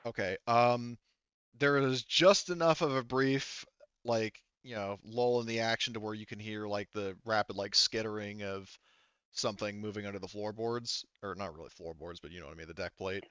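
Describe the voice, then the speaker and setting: A man running a tabletop roleplaying game as game master, improvising scenes and rules in a halting, stop-and-start way. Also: on the low side, fairly bright tone, clear, resonant tone, no room sound, lo-fi sound.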